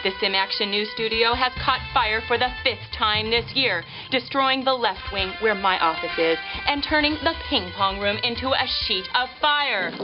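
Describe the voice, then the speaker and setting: An actress as a polished female local news anchor with a fairly high voice, delivering parody bulletins completely deadpan. The game audio is dull and lo-fi, with music clearly behind her. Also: normal speed, hardly any pauses, lightly acted. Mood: deadpan newsreading. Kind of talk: scripted parody TV news report.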